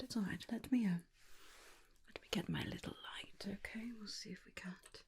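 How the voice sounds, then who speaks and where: A woman playing a gentle, caring female vampire in an ASMR roleplay, whispering softly and soothingly with hesitant reassurances. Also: medium pitch, natural voice. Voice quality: soft breathy whisper. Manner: soothing, hesitant, repeats reassurances, calls listener darling. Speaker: ASMR performer. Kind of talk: ASMR personal-attention roleplay.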